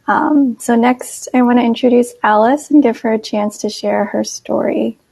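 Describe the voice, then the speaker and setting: A woman moderates a video call in a dark, heavily gravelly voice. The sound is dry and close, a little husky, and she moves things along at a brisk pace. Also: a little high, steady tone, no room sound. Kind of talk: webinar moderation.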